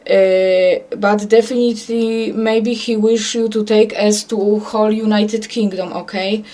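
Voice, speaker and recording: Woman, Polish accent, Polish first language, earnest religious monologue, repetitive, keeps saying "okay?", mid pitch. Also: fairly even intonation, measured pace, warm tone. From Poland. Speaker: self-described prophet.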